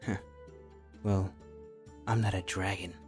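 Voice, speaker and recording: A man's voice: low voice, fairly even intonation, slow, a little mellow, soft background music. A man voicing a wary, tentative dragon slayer from another world in a fantasy audio drama. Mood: cold, awkward. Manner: hesitant, tentative. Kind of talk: fan fiction audio drama acting.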